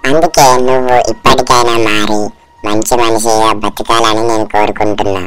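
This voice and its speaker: A performer playing a solemn deity who gives gentle moral advice in a cartoon story, recorded at a distance. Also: mid-range voice, steady tone, normal speed, faint music behind, lightly acted. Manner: solemn, advising. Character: gentle admonishing deity in human form.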